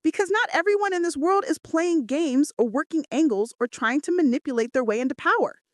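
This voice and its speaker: A woman's voice: high voice, expressive, a little fast, no room sound, studio quality, voice pushed up. A woman playing a defiant, loyal female assistant in emphatic, outspoken romance audiobook dialogue. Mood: angry, defiant.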